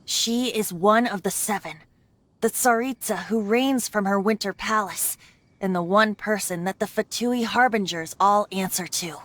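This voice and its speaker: A woman's voice: medium pitch, unhurried, fairly bright tone, natural voice. An actress voicing a playful, carefree young male bard who is secretly a god, with a lilting, teasing way of talking. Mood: explaining. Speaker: voice actor in a game dub.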